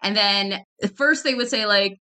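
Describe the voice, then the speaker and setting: A woman's voice: medium pitch, normal speed, pushed and bright. A woman, a podcast guest who talks casually, peppers her speech with "like", and laughs often. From Canada. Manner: chatty, many "like" fillers, laughs often. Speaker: guest, fitness founder.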